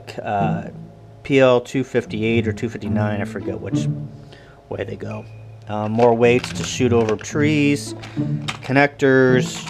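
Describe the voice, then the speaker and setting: An American man giving a casual, unscripted video walkthrough as a hobbyist, halting and matter-of-fact as he goes. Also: medium pitch, vocal fry. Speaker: ham radio hobbyist vlogger. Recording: music bed behind the voice. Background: background guitar music.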